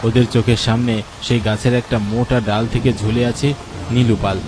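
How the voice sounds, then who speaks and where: A man's voice: medium pitch, flat delivery, moderate pace, music in the background. A man narrating a scripted horror story as its storyteller.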